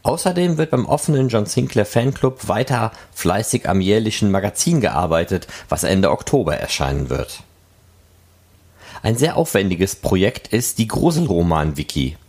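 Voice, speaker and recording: Male podcast host, lowish register, highly varied melody, scripted announcement read. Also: a little mellow. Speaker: podcast host reading fan news.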